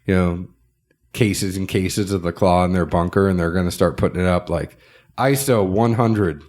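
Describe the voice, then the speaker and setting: A man's voice: low voice, normal speed, a little mellow. Nasal man hosting a bourbon podcast. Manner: joking, teasing banter. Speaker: podcast co-host. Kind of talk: casual chat.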